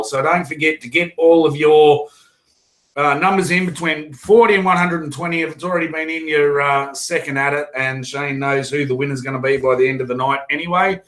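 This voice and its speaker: A man with an Australian accent hosting a casual fishing show, his voice hoarse, talking in a rambling, chatty way with many fillers and restarts. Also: on the high side, fairly quick, a bit bright, poor audio. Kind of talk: casual live stream chat.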